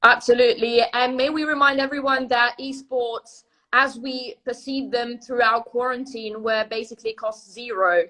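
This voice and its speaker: A woman's voice: a little high, normal speed, poor audio. A woman in her twenties whose first language is Italian, hosting a casual live-stream chat over a video call and laughing often. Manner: laughs often, lively and friendly. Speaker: host and chief editor.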